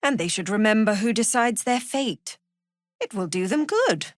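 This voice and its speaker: A woman playing a cruel, zealous cult prison warden in a fantasy video game, haughty and sardonic, with measured, threatening delivery. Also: on the high side, animated, no echo, studio quality, close to an everyday voice. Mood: sardonic contempt. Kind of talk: video game character voice acting.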